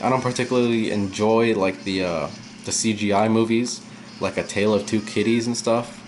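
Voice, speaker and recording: Young guy mumbling thru a game, lowish voice, kinda monotone, chatty tangents, faint hiss. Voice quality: low, at times monotone. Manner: rambling, chatty, drifts off topic. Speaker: let's play gamer. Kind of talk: casual gameplay commentary. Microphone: camera aimed at the game screen.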